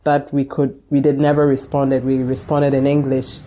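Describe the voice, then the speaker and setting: A woman's voice: very deep voice, monotone, normal speed, warm tone. A woman from Ghana, a podcast guest who talks reflectively and laughs often while recalling things. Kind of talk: casual interview chat.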